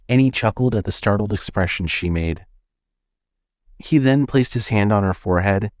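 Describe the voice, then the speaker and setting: A man's voice: low voice, a little mellow, no echo, studio quality. A man giving scripted narration as the narrator of a fiction podcast.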